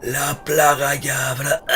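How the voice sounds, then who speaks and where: An actor playing a dying old necromancer in a fantasy radio drama, menacing, with slow, labored, halting speech and a gravelly, breathy rasp. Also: male voice, a little high, wildly swooping pitch, pushed and bright, a little hiss or hum, exaggerated voice. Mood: dying menace. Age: older. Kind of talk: fantasy audio drama acting.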